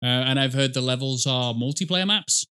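A man's voice: mid-range voice, moderate pace, sharp tone, clear, resonant tone. A man in his thirties giving casual, rambling commentary as he reacts to a game, often correcting himself and swearing. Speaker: reaction video host. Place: small indoor room at a desk with headset.

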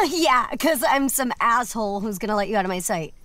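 A woman's voice: a little high, animated, moderate pace, a bit bright, no room sound. An actress playing an entitled, defiant young woman in an audio drama, sullen and sarcastic. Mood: snappy, sullen. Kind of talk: scripted audio drama acting.

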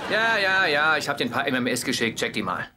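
A man's voice: a little high, sing-song, fast, background noise. An actor dubbing a brash, showy womanizer in his thirties in a German sitcom. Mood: cocky. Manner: cocky, showy. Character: brash smooth-talking womanizer man, 30s. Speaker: dub actor.

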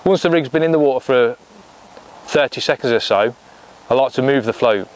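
A man's voice: medium pitch, brisk. English man explaining fishing outdoors. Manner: practical, explanatory, step by step. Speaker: angling coach. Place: lakeside bank outdoors.

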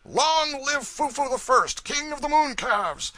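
A man's voice: extremely high pitch, expressive, unhurried, sharp tone, dry recording, pitched above the performer's own voice, full character voice. A man voicing jeering ragged vagabonds in a storybook, wailing and shrieking in mock worship. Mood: jeering shouts.

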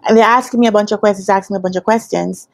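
Woman, thirties, Jamaican accent, medium pitch, casual storytelling, expressive delivery, lapel mic indoors. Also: rapid-fire, vocal fry. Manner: animated, expressive, acts out quoted dialogue. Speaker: business analyst trainer telling her career story. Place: quiet indoor room with curtains.

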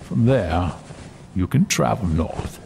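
A man playing a shrewd dragon counsellor in a fantasy audio drama, speaking in a deliberate, measured way with pauses. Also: low-pitched, animated, vocal fry, a little mellow, slight background noise, full character voice. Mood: cautioning.

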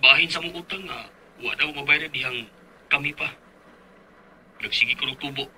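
An actor playing an uneasy, resentful live-in boyfriend in a Cebuano radio drama, with a rough husky voice. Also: male voice, on the high side, normal speed, pushed and bright, slight background noise, poor audio. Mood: uneasy, apologetic.

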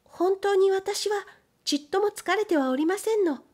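Woman as a high-voiced, polite young girl. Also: normal speed, darkish tone. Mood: eager, polite. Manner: deferential, polite, light.

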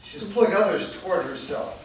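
A man's voice: high voice, animated, brisk. A man reading a dutiful, respectful elder. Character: dutiful male elder, a servant reporting. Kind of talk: staged script reading. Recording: echoey room from far off, background noise.

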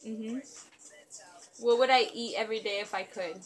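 A woman's voice: a little high, fairly even intonation, normal speed, a crowd in the background. A young woman from Mexico with a Mexican accent, playfully quizzing her husband on a living room couch and teasing him with lots of laughs. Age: young adult. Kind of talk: casual couple Q&A. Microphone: fixed camera mic.

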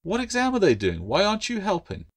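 A teasing, sharp-tongued orphan girl from a fantasy audiobook, played by a man. The voice is pitched well up, loyal and a little worried underneath. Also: huge pitch swings, fast, heavily acted. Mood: concerned.

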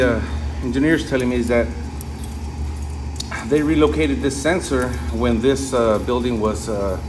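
Loud noise surrounds this man's medium-pitched voice. He talks casually, like a technician filming his own job on a handheld camera. His delivery is informal and explanatory, addressed straight to the guys watching. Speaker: refrigeration technician, vlog host. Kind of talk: casual hands-on work vlog, talking while working. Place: on site at work, inside walk-in freezer and outdoors at condensing unit.

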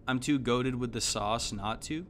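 A man, giving casual, slangy, animated live game commentary. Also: medium pitch, a little slow, a little hiss or hum. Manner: animated, exclaiming, thinking aloud. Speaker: game streamer. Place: indoor room at home.

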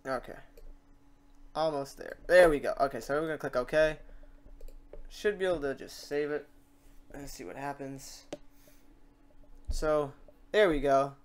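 A man hosting an off-the-cuff software tutorial, informal and rambling, muttering to himself as he works. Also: fairly high pitch, steady tone, a little slow, pauses often. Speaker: tutorial host.

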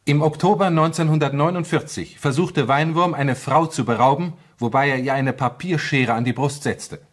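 A man's voice: on the high side, moderate pace, natural voice. A man narrating a crime radio play as a matter-of-fact case reporter, formal and precise. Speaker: second narrator. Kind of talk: scripted documentary crime radio-drama narration.

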